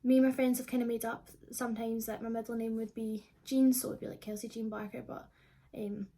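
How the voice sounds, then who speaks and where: A young Scottish woman of twenty talks to camera like a vlogger. Her voice is fairly high and mellow, with little rise and fall in pitch. She rambles informally and casually, as if chatting with friends. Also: little pitch movement, brisk. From Scotland. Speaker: vlogger answering viewer questions.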